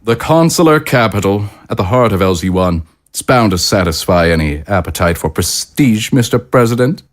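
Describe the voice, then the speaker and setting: Man playing courtly, formal low-voiced consul. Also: animated, normal speed, close to an everyday voice. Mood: politely pointed. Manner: formal, stately, politely condescending. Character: courtly, smooth-talking male consul of the living. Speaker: actor in an audio drama. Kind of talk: dramatic dialogue acting.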